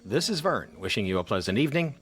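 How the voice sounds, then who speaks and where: A man's voice: mid-range voice, very animated, fast, fairly bright tone. A man hosting on community radio, reading a scripted sign-off.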